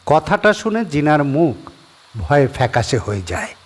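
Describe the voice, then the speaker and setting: A man narrating a horror audio story with expressive, suspenseful storytelling. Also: fairly high pitch, unhurried. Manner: expressive storytelling with rising and falling tension.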